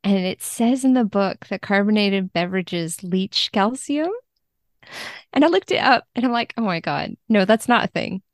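A woman chatting casually as an interview guest, telling things in an animated way and acting out quoted thoughts. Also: medium pitch, moderate pace, no echo, clean studio sound. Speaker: podcast guest, book translator.

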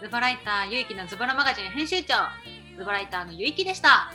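A woman chats as a podcast co-host in a crisp, bright tone. Her pitch sits a little high and her delivery is informal, like talk between friends. Music runs faintly underneath. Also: animated, racing. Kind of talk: casual chat between friends. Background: background music.

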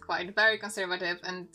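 A woman's voice: lowish voice, little pitch movement, normal speed, sharp tone, faint music behind. A woman of twenty-one with a Polish accent, a podcast guest talking earnestly and a little nervously, with many restarts. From Poland. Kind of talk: casual chat.

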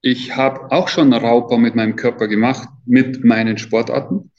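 A man's voice: medium pitch, steady tone, normal speed, darkish tone. A man in his fifties speaking as a trainer being interviewed, in a casual, colloquial and emphatic way. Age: 50s. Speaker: athletic trainer and interview guest. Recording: dull, lo-fi audio.

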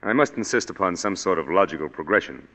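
A suave pompous psychic. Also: male voice, low-pitched, expressive, fast, sharp tone, exaggerated voice. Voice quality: smooth, pompous. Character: suave scheming male psychic, smooth and condescending. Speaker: psychic consultant. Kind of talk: 1940s radio acting. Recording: dull old radio broadcast with hall reverb.

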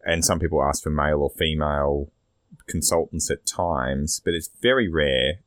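Australian male podcast host, extremely low voice, casual rambling delivery, dry close sound. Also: normal speed, no echo. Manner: rambling, sweary. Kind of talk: casual opinionated discussion.

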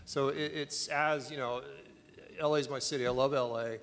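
Halting male director, hall echo. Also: medium pitch, fairly even intonation, fairly bright tone, a little hiss or hum. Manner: thoughtful, halting, many fillers. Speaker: film director. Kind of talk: press conference answer. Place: large convention hall. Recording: reverberant large hall.

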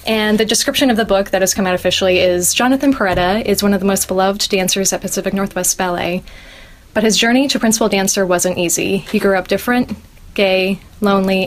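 A woman's voice: mid-range voice, steady tone, brisk. A young woman hosting a podcast interview, speaking enthusiastically and laughing often. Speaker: interviewer host.

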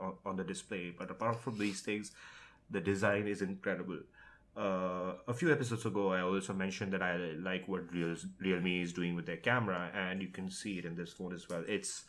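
A man's voice: low-pitched, fairly bright tone. A man on a tech podcast panel, giving casual, conversational opinions with personal anecdotes. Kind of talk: casual chat, reviewing gadgets.

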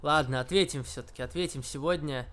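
A man co-hosting a podcast, chatting casually in a low-key, subdued way. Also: brisk, a bit bright. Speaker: co-host of a wrestling podcast. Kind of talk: casual chat.

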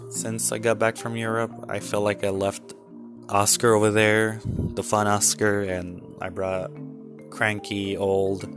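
A man in his twenties talking candidly in a casual vlog, rambling with many fillers and restarts. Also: on the low side. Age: 20s. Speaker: vlogger.

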